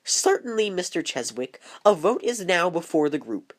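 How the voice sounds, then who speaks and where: A woman's voice: lowish voice, very animated, moderate pace. A woman voicing a stern, controlling head nurse with formal, condescending authority in an amateur film. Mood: formal, dismissive. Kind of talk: scripted amateur film dialogue.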